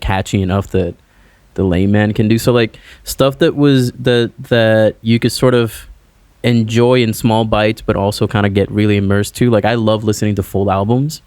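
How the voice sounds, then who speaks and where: A man's voice: on the low side, darkish tone, no echo. A young man from Puerto Rico, a musician guest chatting loosely in an interview with lots of "like" fillers. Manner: loose, rambling, heavy on "like" fillers. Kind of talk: casual interview chat.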